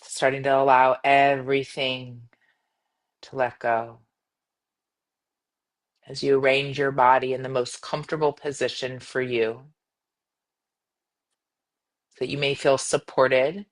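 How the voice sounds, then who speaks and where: Woman meditation guide: gentle, soothing delivery, measured phrasing, long pauses between instructions. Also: rumbling low. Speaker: yoga nidra meditation guide.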